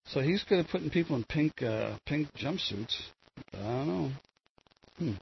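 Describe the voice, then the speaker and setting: Older guy, super gravelly low voice, rambles on about headlines, kinda muffled lo-fi recording. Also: lively intonation, normal speed. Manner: meandering, many fillers and restarts. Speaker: solo show host. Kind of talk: rambling solo commentary on news. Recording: dull lo-fi with faint noise.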